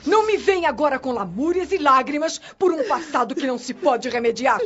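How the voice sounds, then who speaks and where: A woman's voice: high voice, expressive, brisk, fairly bright tone. An actress with a Brazilian accent playing a cold, scolding mother in a radio soap opera, stern and impatient. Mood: cold, irritated. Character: cold, scolding remarried mother silencing her daughter. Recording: muffled audio with music underneath.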